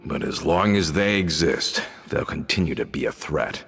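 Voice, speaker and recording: A loyal, practical guild member talks plainly in a game scene, sometimes stumbling over his words. His voice sits very low. A faint ambience hangs behind him. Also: lively intonation, normal speed, creaky, a bit bright, a little hiss or hum, exaggerated voice. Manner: plain-spoken, slightly hesitant.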